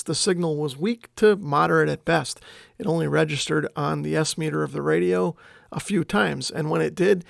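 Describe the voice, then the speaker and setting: A man's voice: fairly high pitch, creaky, dry recording, clean studio sound. A man narrating a casual vlog in an informal, explanatory way, thinking aloud as he goes. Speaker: vlogger, radio hobbyist.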